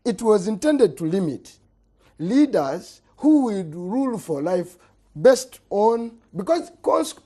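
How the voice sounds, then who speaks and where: A middle-aged Ugandan man argues his point on a political talk show, his husky voice swinging widely up and down. He hammers words by repeating them and lets each phrase sink low at its end. The tone is mellow and dark. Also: very animated, slow pace. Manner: emphatic, repeats words, voice drops at phrase ends. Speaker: politician guest. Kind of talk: heated political panel debate.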